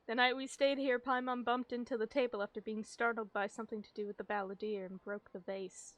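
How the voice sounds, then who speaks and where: A woman's voice: fairly high pitch, no echo. A woman game streamer reacting casually and expressively in an informal live commentary.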